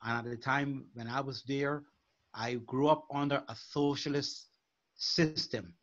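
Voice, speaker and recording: Older man, from Guyana, life coach guest, earnest, measured, muffled call audio. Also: mid-range voice, fairly even intonation, a bit bright. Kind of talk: video call interview. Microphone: video call.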